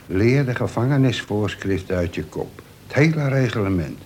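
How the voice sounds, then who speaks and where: A man's voice: on the low side, expressive, normal speed, a little mellow, close to an everyday voice. A man acting a cynical, coarse-talking veteran convict in a Dutch radio play. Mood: knowing, instructive. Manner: coarse slang, reels off regulations by rote. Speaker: actor. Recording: faintly noisy old radio play recording.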